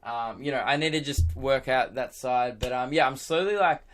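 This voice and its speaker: A young man with a hoarse, worn-out voice, rambling excitedly on his own podcast, laughing and swearing as he goes. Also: medium pitch, normal speed, slight background noise. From Australia. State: hoarse, lost voice. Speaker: podcast host and radio presenter. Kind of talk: casual solo podcast chat.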